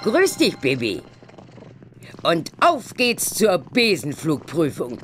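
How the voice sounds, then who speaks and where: Amanda, deep-voiced swooping old witch. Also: huge pitch swings, slow, music in the background, exaggerated voice. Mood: brisk, instructive. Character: Amanda, older witch aunt, brisk formal examiner. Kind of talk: children's computer game voice acting.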